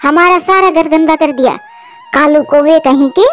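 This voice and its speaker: A woman's voice: sits high, moderate pace, faint music behind, close to an everyday voice. A woman voicing an angry, scolding big owl in a children's story cartoon. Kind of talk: Hindi children's moral story cartoon dialogue.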